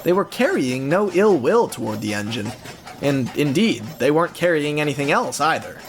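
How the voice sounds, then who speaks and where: Animated male storybook narrator. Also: fairly high pitch, moderate pace, slight background noise. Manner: expressive storytelling.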